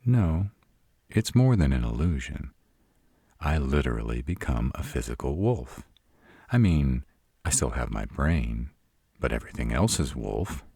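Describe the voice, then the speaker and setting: A man's voice: rumbling low, sing-song, unhurried, long pauses, dark tone, slightly rough, no echo, exaggerated voice. A man playing a sarcastic, proud city-bred vampire in a scripted audio roleplay, with dry, sardonic delivery. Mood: boastful. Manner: sardonic, dry, rhetorical questioning.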